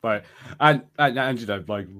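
A male podcast co-host in a casual review chat. He works out his opinions as he speaks, hedging and circling back. The phrasing is halting and conversational. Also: medium pitch, a bit bright. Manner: halting, hedging, thinking aloud.

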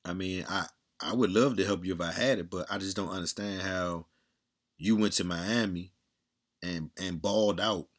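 A man talking bluntly and candidly in a casual monologue, with a strong, loud voice, repeating himself and swearing freely. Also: low-pitched, moderate pace.

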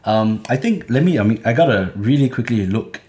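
A man's voice: mid-range voice, lively intonation, brisk, darkish tone, echoey room. A man with a Singaporean accent, a hip hop artist talking earnestly and passionately in a podcast conversation, often restarting his sentences.

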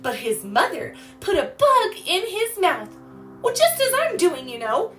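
A woman's voice: sits high, big pitch swings, unhurried, fairly bright tone, soft background music, voice pushed up, heavily acted. A woman voicing a caring, bubbly little girl in a storybook, with eager, exclamatory, folksy old-fashioned speech. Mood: animated, playful. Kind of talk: storybook character voice.

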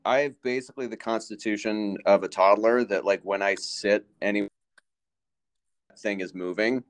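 Laid-back low-voiced man cohost. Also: normal speed, smooth. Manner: laid-back, dry humor. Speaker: podcast co-host. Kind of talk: casual chat.

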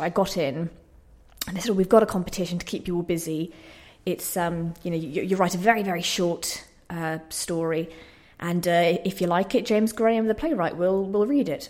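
A woman's voice: lowish voice, darkish tone. A young woman talking casually as a radio interview guest, telling personal stories in a modest, good-humoured, slightly nervous way. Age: young adult. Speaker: interview guest, playwright and actor. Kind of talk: casual, chatty personal storytelling. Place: radio station.

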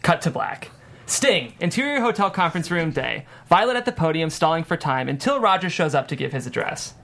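A man's voice: a little high, very animated, fairly quick, gravelly, a bit bright. American man, casual screenwriter voice. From US Midwest. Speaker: screenwriter reading stage directions. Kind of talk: casual discussion and script narration.

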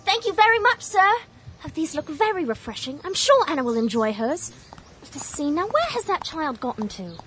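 A woman's voice: high-pitched, very animated, brisk, fairly bright tone. An actress playing a prim, spirited young Victorian lady in a radio drama, with a proper, emotive delivery. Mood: gentle, polite. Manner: proper, crisply formal, emotive.

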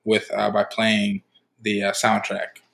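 A man's voice: lowish voice, monotone, slow, creaky, fairly bright tone. A young man co-hosting a film podcast, playful and teasing. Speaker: podcast co-host. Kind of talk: casual chat.

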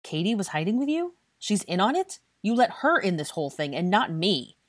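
A woman's voice: mid-range voice, sing-song, fairly quick, no room sound, clean studio sound, pitched above the performer's own voice. A woman voicing an indignant, demanding young man with a defiant temper in an audiobook story. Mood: furious. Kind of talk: audiobook character dialogue.

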